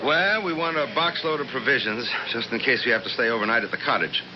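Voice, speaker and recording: An actor playing a stubborn, dismissive American husband in a 1970s radio drama, insistent in manner. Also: male voice, mid-range voice, fast, lightly acted. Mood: impatient, determined. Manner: insistent, brushing off objections. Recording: muffled, noisy 1970s radio recording.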